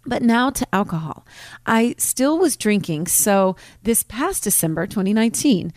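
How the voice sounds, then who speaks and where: A woman's voice: mid-range voice, expressive, normal speed, a little mellow, dry recording, clean studio sound. A woman in her forties hosting a podcast, talking candidly and confessionally in a personal monologue. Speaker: podcast host.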